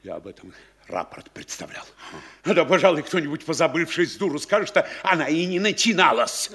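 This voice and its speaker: A flustered middle-aged town mayor in a classic stage radio play, fretting aloud and snapping out orders. His bright, tense voice sits a little high and swings widely between grumbling and shouting. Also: very animated, fairly quick, breathy edge, exaggerated voice. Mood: fretful. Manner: muttering, fretful, bursts into shouts. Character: frantic middle-aged provincial mayor, fussy and scheming.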